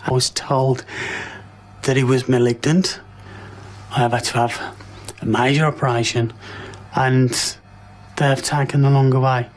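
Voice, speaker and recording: A man in his thirties giving a grave, halting personal testimonial. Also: medium pitch, little pitch movement. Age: 30s. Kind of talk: testimonial to camera.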